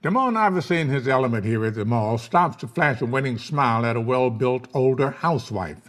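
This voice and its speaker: A man's voice: mid-range voice, lively intonation, fairly quick. A man narrating a screenplay, reading out stage directions at a table read.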